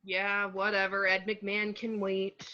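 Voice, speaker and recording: Woman as a bright, mouthy boy, lo-fi. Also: medium pitch, monotone, measured pace. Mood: sarcastic. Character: wisecracking, mouthy young boy. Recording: dull lo-fi mic.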